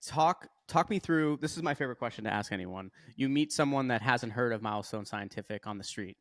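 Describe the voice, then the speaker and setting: A man's voice: mid-range voice, brisk, fairly bright tone, no echo, studio quality. A man hosting a podcast, upbeat and friendly, who often repeats words as he finds his phrasing. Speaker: podcast host. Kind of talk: podcast interview.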